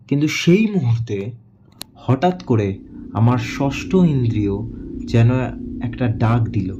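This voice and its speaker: A man narrating a first-person horror story in a dramatic, suspenseful way, with deliberate phrases broken by pauses. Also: medium pitch, warm tone, muffled recording.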